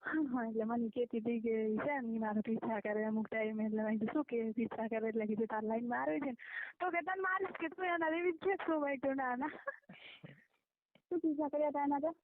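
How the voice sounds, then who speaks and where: A woman's voice: fairly high pitch, steady tone, fairly quick, no echo. A young woman with a rural Gujarati accent gossips casually in a private call, chattily asking questions and retelling conversations. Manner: chatty, questioning, recounts conversations in quoted back-and-forth. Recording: muffled, band-limited phone call recording.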